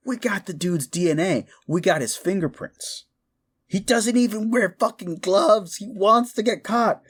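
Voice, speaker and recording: A man playing a quirky, mischievous necromancer in a comedic audio roleplay, rambling eagerly and casually with slangy stammers. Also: fairly high pitch. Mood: persuasive, mischievous.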